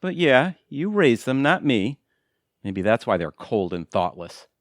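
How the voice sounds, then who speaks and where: A man's voice: medium pitch, sing-song, measured pace, dry recording. Guy acting as a fed-up middle-aged husband in an audio play, sarcastic, super up and down voice, dry sound. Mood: sarcastic, wounded. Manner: sarcastic, wounded. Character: aggrieved, sarcastic middle-aged husband, former Vegas performer.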